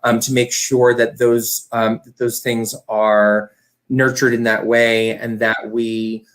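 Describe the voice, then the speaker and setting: A man's voice: medium pitch, flat delivery, slow, low-quality recording. A man speaking conversationally as an arts director and guest, earnest and effusive, in long wordy sentences. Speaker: theatre company director, guest. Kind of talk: conversational talk about running an arts organisation.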